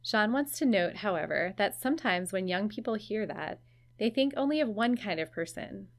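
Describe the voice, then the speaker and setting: A woman's voice: mid-range voice, animated, normal speed. A woman hosting a podcast, narrating in a conversational, lightly self-deprecating way. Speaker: podcast host.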